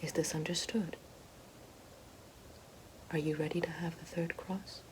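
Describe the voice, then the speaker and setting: A regal evil witch queen, acted by a woman, speaks in a lowish, breathy murmur that often sinks to a whisper. She is slow, solemn and formal, menacing in the manner of an ASMR fantasy roleplay. There is clear noise behind the voice. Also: fairly even intonation, a little mellow. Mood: commanding, hushed.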